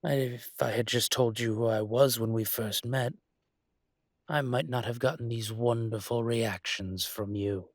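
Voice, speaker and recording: A man playing a teasing, smug but protective dragon shifter mafia boss in an intimate roleplay audio, speaking in a measured, deliberate way with dramatic pauses. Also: medium pitch, steady tone, no room sound, studio quality.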